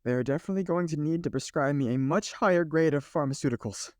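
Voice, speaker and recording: A man playing a skeptical, bewildered office worker in his twenties in an audio play, halting and exasperated. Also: a little high, no room sound, studio quality, natural voice. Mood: awkward, sarcastic. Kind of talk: naturalistic audio play acting.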